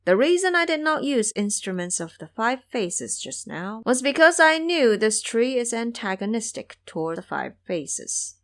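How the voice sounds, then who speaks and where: A woman voicing a serene female bodhisattva in an audio drama, calm, deliberate and authoritative as she instructs. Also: on the high side, pitched above the performer's own voice.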